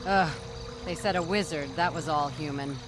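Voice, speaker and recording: A woman's voice: low-pitched, moderate pace, close to an everyday voice. A woman playing an impatient, no-nonsense elf ranger in a fantasy game cutscene. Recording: clear background noise.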